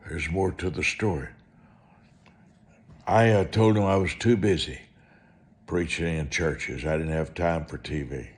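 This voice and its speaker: A man preaching through personal storytelling, speaking in short, deliberate, emphatic phrases and repeating them for effect. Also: sits low. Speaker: preacher and author telling testimony. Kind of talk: storytelling sermon monologue.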